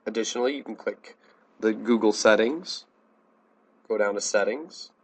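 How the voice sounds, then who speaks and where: An American man teaching a software tutorial in a plain, step-by-step instructional manner. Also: lowish voice, normal speed. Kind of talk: instructional screencast narration.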